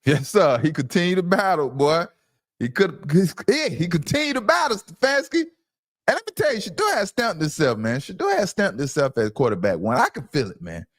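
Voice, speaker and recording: Male sports commentator, emphatic and opinionated, lively intonation, very dry recording. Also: on the high side, a little fast, dry recording. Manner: emphatic, insistent, repeats phrases for stress. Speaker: sports commentator and show host. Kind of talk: opinionated sports reaction talk.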